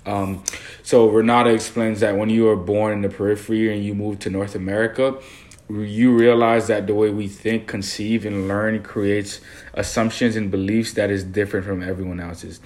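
A man's voice: on the low side, flat delivery, normal speed, darkish tone. A young man from Haiti co-hosting a discussion, speaking earnestly and measuredly with frequent fillers. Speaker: co-host discussing a designer interview. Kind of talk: casual conversational discussion.

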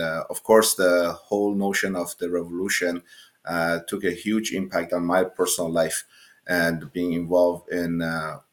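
A low-voiced middle-aged pastor, the sort of man you'd hear as an interview guest telling his own story haltingly. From Iran. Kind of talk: conversational personal account.

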